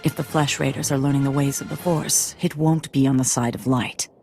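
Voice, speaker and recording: An actress playing a composed, grave Jedi master in a video game, measured and formal as she advises apprentices. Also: very deep voice, steady tone, creaky, a little mellow, soft background music.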